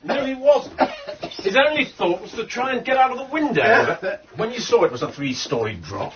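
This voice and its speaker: An actor playing a brisk, exasperated English police inspector in a radio drama, with a hearty, matter-of-fact manner. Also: male voice, very high-pitched, big pitch swings, a bit bright, a little hiss or hum, full character voice. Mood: exerted, triumphant. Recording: dull radio recording.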